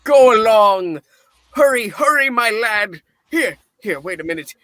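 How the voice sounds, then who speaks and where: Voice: medium pitch, animated, a little slow, a bit bright, no echo, clean studio sound. A performer playing a giddy, newly reformed old miser in a radio play reading, effusive and theatrical. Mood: giddy, kindly.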